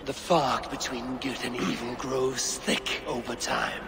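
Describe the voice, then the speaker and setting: An actor voicing an ancient, solemn male god in a video game, speaking in a grave, measured way. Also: mid-range voice, fairly even intonation, gravelly, loud music behind the voice.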